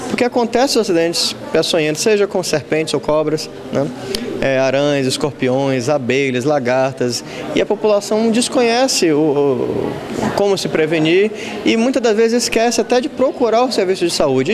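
A man in his forties being interviewed by a reporter on a handheld mic, explaining in a measured way in an auditorium. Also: high voice. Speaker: lecturer interviewed. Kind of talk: news interview. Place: school auditorium with an audience.

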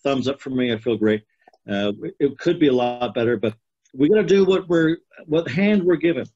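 A man's voice: medium pitch, normal speed, a little mellow. A middle-aged man, a music program instructor, talks plainly and steadily on a video call. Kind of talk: casual video-call chat. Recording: slightly dull video-call audio.

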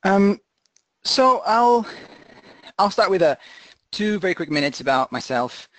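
A man from Portugal gives an informal webinar lecture, haltingly repeating words as he explains. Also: high-pitched, sing-song, fairly bright tone, no echo, rough recording. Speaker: guest presenter, conservation marketing researcher.

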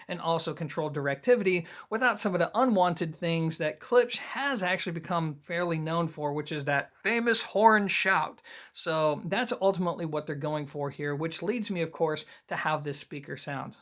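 A man's voice: on the high side, a bit bright. An American man in his thirties giving a casual, emphatic and explanatory video review of audio gear.

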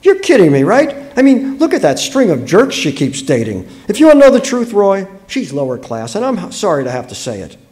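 Audiobook role: exasperated, bossy wife, read by a man, easily wounded, pitch leaping about scornfully. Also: wildly swooping pitch, fairly quick, creaky, a little mellow, full character voice. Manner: scornful rhetorical questions, emphatic. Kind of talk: acted audiobook dialogue.

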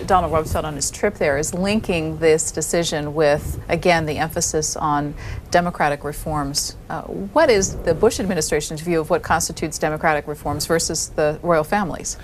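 A woman hosting a televised call-in interview, asking questions in a deliberate, clear way. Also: low-pitched, slight background noise. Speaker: call-in show host.

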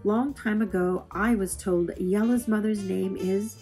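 Woman, mid-pitched voice, children's poetry read-aloud, expressive, deliberate phrasing, soft guitar music behind. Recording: music playing under the voice. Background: plucked guitar music.

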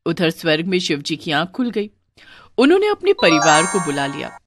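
A woman's voice: fairly low pitch, wildly swooping pitch, moderate pace, no echo, studio quality, faint music behind. A woman narrating a scripted moral story.

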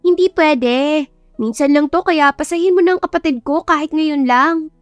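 A cheerful young female student, voiced by a woman storyteller pleads in a high, lifted voice. She is insistent and coaxing, in an audio love story with music underneath. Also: normal speed, no room sound, highs cut off, lightly acted.

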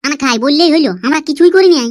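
A performer with a sped-up, pitched-up voice playing a bossy, mischievous village cartoon character with a Purulia accent, mocking and scolding in dialect. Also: very fast. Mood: questioning. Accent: Purulia, West Bengal.

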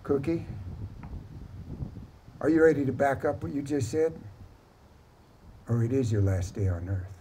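A man's voice: mid-range voice, measured pace, pauses often, warm tone, slight background noise, lightly acted. A man voicing a boastful, insistent cowboy vaquero in a live-told Western tale. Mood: challenging. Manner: insistent, cocky. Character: excitable, boastful young cowboy vaquero who claims riches.

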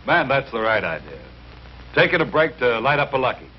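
A man's voice: medium pitch, sing-song, fairly quick. An American man reading a scripted 1950s commercial voice-over with an upbeat salesman's delivery. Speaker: commercial announcer. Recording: dull, hissy 1950s film soundtrack.

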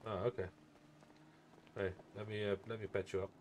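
A man's voice: lowish voice, little pitch movement, normal speed, slight background noise. A man chatting casually as he roleplays a paramedic in an online game stream.

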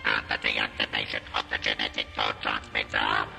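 A man voicing a cold, domineering alien machine in a science-fiction audio drama, croaking slow commands through an electronic robot effect. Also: very deep voice, music in the background, heavily acted. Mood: cold, commanding. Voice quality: croaking, grating mechanical monotone. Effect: electronic robot voice modulation.

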